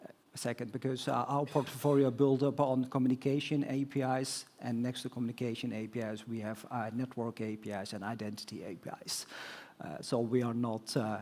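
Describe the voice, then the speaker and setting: Halting man answering on a conference panel. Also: mid-range voice, steady tone, darkish tone. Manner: halting, many fillers and restarts, non-native phrasing. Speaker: telecom executive guest on panel. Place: conference stage.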